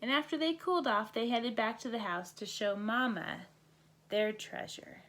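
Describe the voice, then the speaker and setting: A woman's voice: on the high side, lively intonation, moderate pace, a bit bright. A woman reading a children's bedtime story aloud as a storybook narrator. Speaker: storybook reader.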